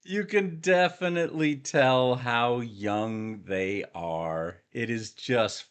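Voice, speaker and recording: A man's voice: mid-range voice, slow, clean tone. A man hosting a reaction video, talking casually and often repeating "again" as he comments. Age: adult military veteran. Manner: chatty, keeps repeating "again".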